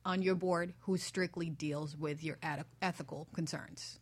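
A woman's voice: deep voice, steady tone, unhurried. A woman speaking as a guest in a casual panel discussion, agreeable and building on what others say.

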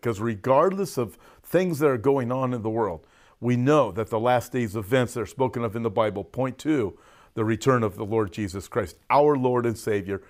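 American guy pastor doing an informal online sermon, folksy, medium pitch, bit of a cough going, cough drop in his mouth. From United States. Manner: folksy, direct address with emphatic stresses. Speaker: pastor hosting a prophecy update.

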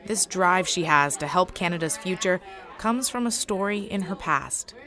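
A woman's voice: on the low side, expressive, normal speed, crowd noise. A woman narrating as a podcast host from a script.

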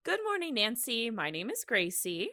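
A woman, probably in her thirties, hosting a podcast in a casual, chatty, animated and giggly way. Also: a little high, normal speed, dry recording. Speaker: podcast host.

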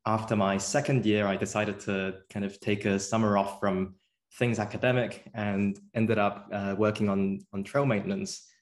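A young man from South Korea, a guest on an interview podcast, answering in a relaxed, thoughtful way with frequent fillers and restarts. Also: on the low side, moderate pace. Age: young adult.

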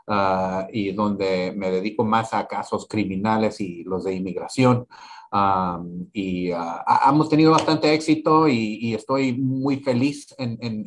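A man in his forties from Laredo, answering interview questions as a council candidate in a halting way, with many fillers and repeated words. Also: low-pitched. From Laredo, Texas. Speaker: council candidate, immigration attorney. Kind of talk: interview in Spanish.

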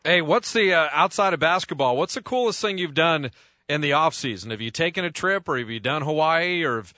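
A man's voice: a little high, lively intonation, a little fast, pushed and bright, dry recording. A man hosting a sports radio interview in a studio, chatty and joking with lots of fillers.

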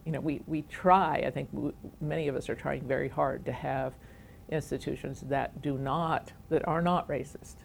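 Woman educator, really low gravelly voice, earnest and measured, faint rumble in the background. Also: steady tone. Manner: earnest and measured, speaks of teaching commitment. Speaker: educator guest. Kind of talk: conversational interview.

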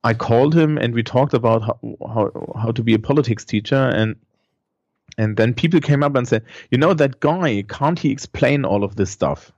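A man's voice: medium pitch, moderate pace, darkish tone, no echo, muffled recording. A man with a Thuringian German accent, a teacher who speaks English as a second language and talks casually with many restarts and fillers. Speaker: teacher and podcaster, guest. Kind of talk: casual conversation.

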